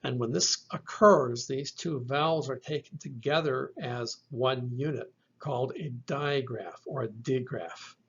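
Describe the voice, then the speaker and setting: A man lectures at an unhurried, deliberate pace, his voice gravelly and a little husky. He spells out letters and repeats each sound twice so students can follow. The recording is dull and lo-fi. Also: mid-range voice, fairly bright tone. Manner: slow, methodical, repeats each sound for the listener. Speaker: Greek language teacher.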